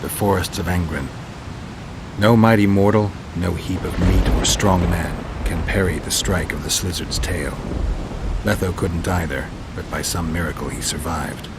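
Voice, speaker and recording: An actor playing a weary, grim monster hunter, recounting his memories tersely and deliberately as scripted video game narration. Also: deep voice, very noisy. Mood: grim, resolute. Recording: studio voice under loud music. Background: loud dramatic score.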